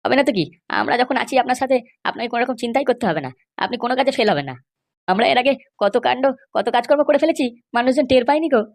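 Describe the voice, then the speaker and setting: A woman voicing a flattering, spiteful political sidekick in a comedy cartoon, fawning and cocky. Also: a little high, very fast, gravelly.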